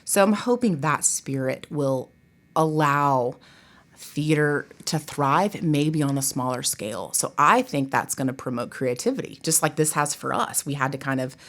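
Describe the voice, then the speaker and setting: A woman's voice: low voice, animated, moderate pace, a bit bright. A woman talking casually as an interview guest, chatty and emphatic, with plenty of conversational asides. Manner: chatty, emphatic, peppers talk with "you know" and "like". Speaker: theater professor and director, interview guest.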